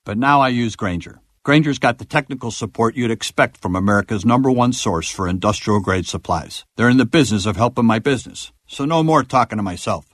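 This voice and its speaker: A man's voice: medium pitch, a little fast, few pauses, fairly bright tone, dry recording, clean studio sound. A man reading a scripted radio commercial in a confident, plain-spoken way. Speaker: commercial voice-over.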